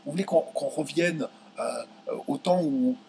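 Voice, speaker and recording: A young man from France ranting straight to his viewers, indignant and heated, stumbling over his words and pushing his voice up high when he gets angry. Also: unhurried, vocal fry, darkish tone, slight background noise. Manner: indignant, voice jumps high when outraged, many restarts. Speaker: video commentator. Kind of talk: heated direct-to-camera rant.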